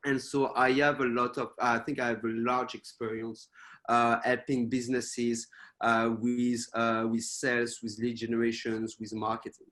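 A man's voice: mid-range voice, level tone, a bit bright. French guy giving a webinar talk on a video call, lots of uhs and restarts. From France. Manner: halting, many fillers and restarts. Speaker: webinar guest speaker. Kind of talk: presenting to a webinar.

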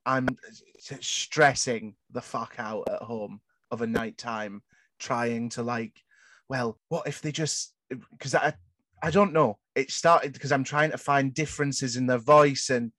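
A man's voice: mid-range voice, steady tone, a bit bright, no room sound. A young man in his twenties hosting a podcast, chatting candidly with many stops, restarts and swear words. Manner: swears a lot, halting restarts. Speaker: podcast host. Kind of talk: candid casual chat.

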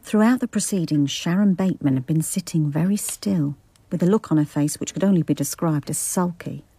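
An actress playing an observant, dry female detective, narrating a radio drama in an understated, measured way. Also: low voice, warm tone, husky, no echo.

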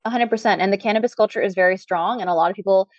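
Woman podcast guest, quick chatty delivery, dry muffled recording. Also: mid-range voice, no room sound. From Trinidad, raised in Canada. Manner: chatty, lots of "like" fillers. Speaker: cannabis scientist guest. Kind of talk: casual podcast interview.

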